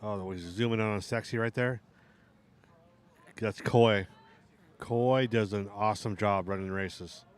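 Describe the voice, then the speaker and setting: A middle-aged man with a hoarse voice, casually commentating a live outdoor RC drag race. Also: on the low side, fairly even intonation, measured pace, pauses often. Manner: casual, rambling banter with restarts.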